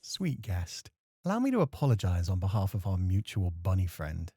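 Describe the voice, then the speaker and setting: A man playing a courteous, gently teasing club host in a soft, flirty ASMR roleplay, suave and polite. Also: low-pitched, sing-song, normal speed, slightly rough, dry recording, studio quality, exaggerated voice. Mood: polite, playful.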